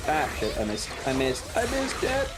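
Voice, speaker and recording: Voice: medium pitch, wildly swooping pitch, normal speed, heavy background noise. A streamer chatting casually and playfully while gaming, rambling and mock-grumbling, with game music behind. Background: video game music.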